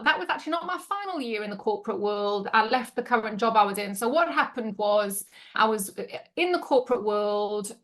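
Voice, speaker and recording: A woman's voice: fairly high pitch, a bit bright. A woman with a mixed Yorkshire and South African accent, a guest openly telling her story in a podcast interview. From England, grew up in South Africa. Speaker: guest, entrepreneur.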